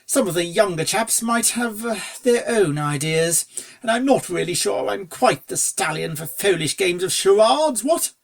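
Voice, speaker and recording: A reader voicing a suave upper-class gentleman stallion in a storybook, with a posh, drawling, breezy manner and old-fashioned phrasing. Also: medium pitch, animated, normal speed, creaky, voice pushed up. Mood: musing, genial. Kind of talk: storybook character dialogue.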